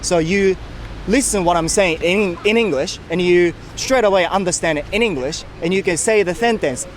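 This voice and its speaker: A man's voice: sits high, moderate pace, slight background noise. A Japanese man with a Japanese accent, hosting a casual street interview outdoors and asking eager questions.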